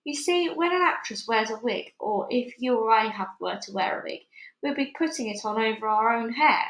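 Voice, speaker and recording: A stern old grandmother from a storybook, acted out by a woman, lecturing emphatically. Her voice is high and creaky, and the room echoes around her. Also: expressive, normal speed, a bit bright. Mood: instructive, relishing. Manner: emphatic, brusque, instructive. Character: stern, knowing old grandmother telling witch lore. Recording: roomy, echoey home recording.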